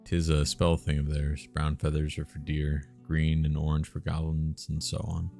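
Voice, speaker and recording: A man's voice: rumbling low, monotone, normal speed, creaky, mellow, faint music behind. A man voicing a playful, sly woman spellcaster of the wilds, with old-fashioned rustic phrasing, in a story game read-aloud. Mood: knowing.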